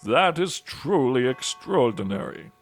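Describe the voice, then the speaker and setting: A man's voice: medium pitch, very animated, measured pace, soft background music. A man playing a devout, thoughtful priest in an audio drama, with a solemn preaching manner. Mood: solemn, reverent.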